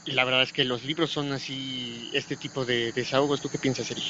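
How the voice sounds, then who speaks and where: A man's voice: mid-range voice, steady tone, brisk. A man hosting a casual interview chat, putting questions to guests and sharing his own thoughts. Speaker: host. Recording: dull lo-fi audio, faint noise, insects.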